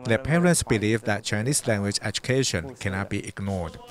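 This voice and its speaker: Calm male interview voice-over. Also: lowish voice, brisk.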